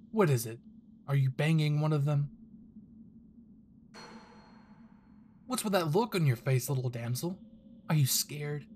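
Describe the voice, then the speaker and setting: A man's voice: a little high, slight background noise, natural voice. A man voicing a cruel, sneering male villain henchman in an anime fan audio drama, theatrically mocking and taunting.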